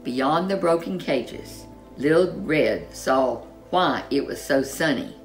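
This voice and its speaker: A woman narrating a children's story in an expressive, dramatic storyteller's manner. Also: extremely low pitch, slow pace, faint music behind.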